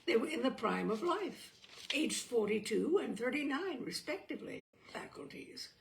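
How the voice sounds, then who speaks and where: A woman reading a literary short story aloud in an expressive, measured narrating style. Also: on the high side, gravelly. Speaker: story reader.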